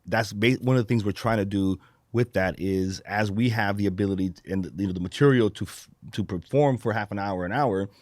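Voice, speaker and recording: Fast-talking, low-voiced man from Brazil. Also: a little mellow, no echo, studio quality. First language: Portuguese. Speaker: podcast host and stand-up comedian. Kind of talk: casual podcast chat.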